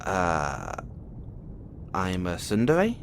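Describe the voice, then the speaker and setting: A man's voice: sits low, wildly swooping pitch, extremely slow, vocal fry, a little hiss or hum, exaggerated voice. A man voicing a playful, flirtatious male space demon who teases the listener in an audio roleplay. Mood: flirtatious, cocky. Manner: playful, teasing, giggles often.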